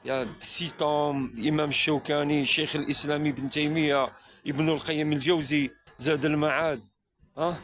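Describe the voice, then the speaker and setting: A man's voice: a little high, flat delivery, measured pace, fairly bright tone. Preachy man firing rhetorical questions. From France. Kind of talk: spontaneous religious monologue.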